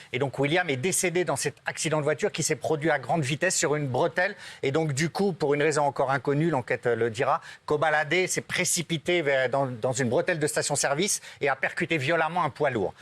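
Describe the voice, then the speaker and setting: A man's voice: a little high, fairly bright tone, no room sound. Hesitant male TV panelist recounting news. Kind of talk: studio panel talk.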